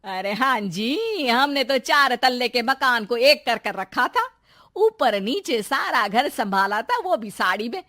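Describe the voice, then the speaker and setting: A woman voicing a dramatic, bossy middle-aged mother-in-law in a cartoon story, scolding loudly and melodramatically. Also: fairly high pitch, sing-song, unhurried, dry recording, studio quality.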